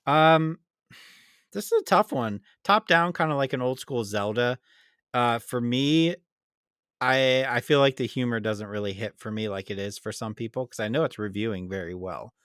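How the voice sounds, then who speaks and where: A man's voice: medium pitch, moderate pace, a bit bright, clear, resonant tone, dry recording, studio quality. A man co-hosting a gaming podcast, giving casual, conversational opinions on games. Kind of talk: casual chat and informal game review.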